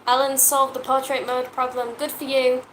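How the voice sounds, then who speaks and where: A young woman in her twenties co-hosts a travel vlog livestream and cheerfully reads out viewer messages. Her voice is on the high side, with plain background noise under it throughout. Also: level tone, moderate pace, a bit bright, poor audio. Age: 20s. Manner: chirpy, reads viewer comments aloud. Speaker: travel vlogger. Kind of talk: casual livestream chat.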